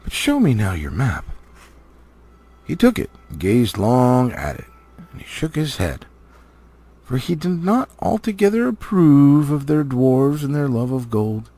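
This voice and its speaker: A man narrating a storybook as an amateur reader, with dramatic, deliberate phrasing. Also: medium pitch, sing-song, mellow.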